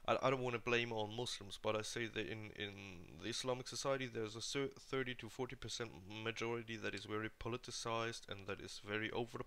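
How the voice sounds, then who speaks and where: A man's voice: fairly low pitch, sharp tone, clear, resonant tone. A man speaking off the cuff in an earnest opinion monologue, hesitating often and restarting as he searches for words. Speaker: online commentator giving a video response.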